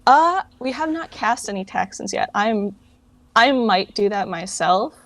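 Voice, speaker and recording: A woman's voice: mid-range voice, expressive, measured pace, no room sound. A woman answering interview questions casually, in an informal, rambling way that often corrects itself. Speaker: interview guest, fan project founder. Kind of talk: casual chat.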